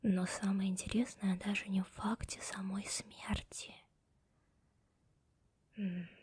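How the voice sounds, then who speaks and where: A young woman voicing a stern, sharp-tongued female office boss in an ASMR roleplay, whispering softly close to the mic. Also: medium pitch, level tone, slow pace, a little hiss or hum, lightly acted. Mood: hushed, secretive. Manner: scolding and sarcastic to staff.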